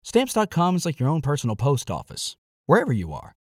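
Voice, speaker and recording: Guy doing a peppy radio ad read, bouncy voice, kinda high, dry sound. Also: wildly swooping pitch, moderate pace, dry recording. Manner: upbeat, punchy sales delivery. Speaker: ad announcer.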